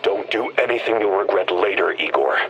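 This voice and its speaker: An actor playing a grave, measured male ally in a video game, giving admonishing counsel over noisy audio. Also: low voice, expressive, dull audio. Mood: cautioning. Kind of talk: video game character acting.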